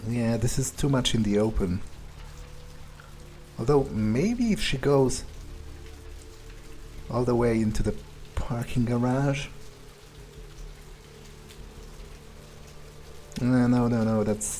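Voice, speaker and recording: A scheming vampire, played by a man muses aloud through a video game. He has a medium-pitched male voice, hesitant and condescending, as he improvises in character. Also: a little slow, long pauses, warm tone, a little hiss or hum, close to an everyday voice. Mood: wary, musing.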